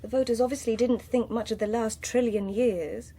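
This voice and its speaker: An actress playing a young, cynical politician's daughter in a radio drama, challenging and scornful. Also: female voice, on the high side, steady tone, normal speed, mellow, slightly rough. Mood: critical.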